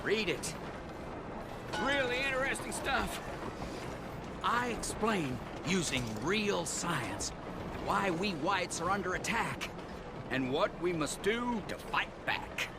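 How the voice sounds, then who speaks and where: High, pompous soapbox zealot. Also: male voice, big pitch swings, takes it slowly, heavy background noise, heavily acted. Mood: fervent, preachy. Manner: pompous, theatrical soapbox oratory. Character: zealous bigoted male street preacher handing out pamphlets, indignant. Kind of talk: video game acting.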